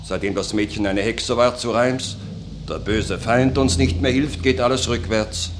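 An actor playing a grim but hospitable charcoal burner, declaiming solemnly in a classical verse radio drama. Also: male voice, medium pitch, steady tone, measured pace, lightly acted. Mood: grim, foreboding. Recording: noisy recording with storm-like ambience.

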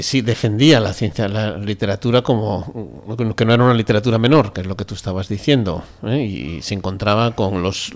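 A man with an accent from Spain chatting casually on the radio, enthusiastic and often restarting his phrases. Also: fairly low pitch. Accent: Spanish from Spain. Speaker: radio co-host or guest commentator.

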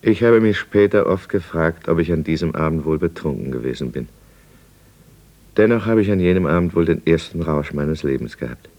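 A very low male voice plays a self-pitying middle-aged merchant who has taken to drink. He confesses in a brooding, deliberate manner. It has the style of a 1950s radio play. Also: animated, darkish tone, heavily acted. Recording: 1950s radio drama studio recording.

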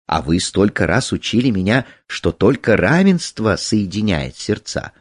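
A man's voice: on the low side, lively intonation, measured pace, husky, no room sound, clean studio sound. A man voicing a clever, dutiful young woman in a storybook tale, formal, courteous and self-assured. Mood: respectful, proud.

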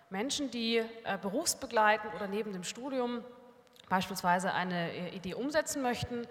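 A woman's voice: medium pitch, fairly bright tone. A woman presenting her academy at a workshop panel, explaining in a structured way with frequent fillers. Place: conference hall. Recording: long hall reverb.

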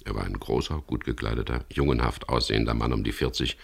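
A stubborn, wry adventurer telling his own crime story for radio. His male voice is exceptionally deep, with a gravelly fry. He speaks drily and sardonically, in narration and dialogue alike. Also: steady tone, fast, full character voice. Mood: dry narrating. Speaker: narrator and lead character.

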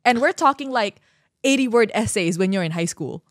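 A woman's voice: medium pitch, expressive, a little fast, dry recording, clean studio sound. A woman from Singapore and Malaysia talking as a guest on a casual podcast, explaining things in a chatty, informal way.

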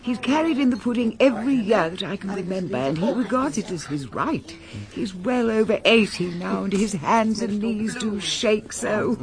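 A woman's voice: medium pitch, lively intonation, moderate pace, a crowd in the background, close to an everyday voice. An actress playing a kindly, fussing upper-class English lady of the house in a radio drama. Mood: festive, gracious.

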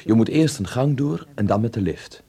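A man's voice: medium pitch, animated, moderate pace, warm tone, breathy edge. An actor playing a genial, curious and easygoing Dutchman abroad in a radio drama. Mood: contented. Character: genial, curious Dutchman newly living in Berlin.

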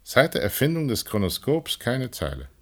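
An actor playing a cautious, wry older uncle in a German radio drama. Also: male voice, medium pitch, very animated, moderate pace, fairly bright tone. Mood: puzzled. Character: cautious, wry old uncle smuggling forbidden things.

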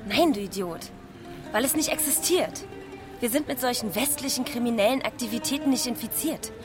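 Woman acting as defiant young female student, fairly high voice, haughty, cool, scornful, noir radio play, music behind. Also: moderate pace, close to an everyday voice.

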